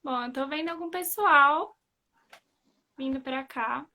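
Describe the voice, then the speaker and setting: A woman's voice: high voice, slow pace, bright, forceful tone. A young woman, casually interviewing someone on a live video call as a student. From São Paulo city, Brazil. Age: young adult.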